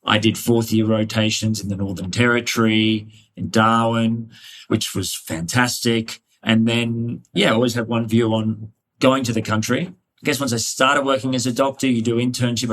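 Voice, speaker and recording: An Australian man answering interview questions conversationally, in a reflective, discursive manner. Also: medium pitch. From Hunter Valley, New South Wales, Australia. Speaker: interview guest, cardiologist.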